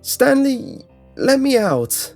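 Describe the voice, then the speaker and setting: Man voicing a panicked boy yelling, high-pitched. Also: big pitch swings, normal speed, creaky, faint music behind, exaggerated voice. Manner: urgent, pleading, yelling. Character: frightened boy trapped in electric arcade chair, protesting. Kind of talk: first-person horror audiobook character dialogue.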